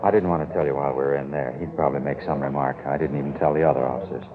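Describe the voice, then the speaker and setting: A man's voice: extremely low pitch, fairly even intonation, very fast. A man acting a polite, earnest, stubborn bank guard in a 1950s radio drama. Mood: confiding, earnest. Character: deferential but stubborn male bank guard. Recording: noisy, tinny vintage radio recording.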